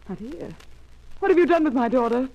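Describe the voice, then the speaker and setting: A woman's voice: high-pitched, expressive, fairly quick, darkish tone, muffled recording. An actress playing a frantic, desperate mother in 1940s radio melodrama, with urgent, breathless pleading. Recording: faintly noisy vintage radio recording.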